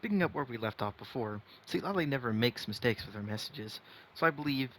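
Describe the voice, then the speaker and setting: A man playing a composed, courteous young man in a video game, with dry, measured delivery. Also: mid-range voice, animated, a bit bright, slight background noise, close to an everyday voice. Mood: matter-of-fact. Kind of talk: game dialogue.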